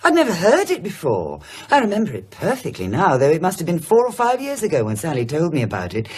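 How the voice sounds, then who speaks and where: A woman's voice: sits low, huge pitch swings, fast, a little mellow, slightly rough, exaggerated voice. An actress playing an inquisitive, decisive married woman in a British radio drama, speaking in a musing, emphatic way. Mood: intent. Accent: British English.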